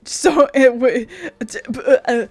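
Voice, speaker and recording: A woman commentates casually on a game she is playing. Her voice sits on the high side, and she rambles as she thinks aloud. Game music plays softly behind her. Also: a little slow, no echo. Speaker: gaming streamer.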